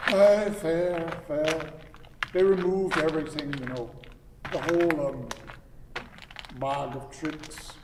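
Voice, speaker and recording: A man acting a fussy, grumbling old gentleman in a radio play, formally polite and theatrical. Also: fairly high pitch, steady tone, slow pace, pauses often. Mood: doleful, grumbling. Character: fussy, grumbling old gentleman cyclist, courteous but doleful. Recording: distant, echoey hall with noise.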